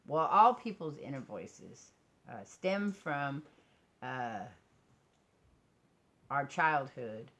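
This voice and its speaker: A woman's voice: very deep voice, a bit bright. An American woman chatting casually in a rambling, self-deprecating vlog recorded indoors. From United States. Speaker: vlogger and crafter. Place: indoors at a craft table. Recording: noisy home recording.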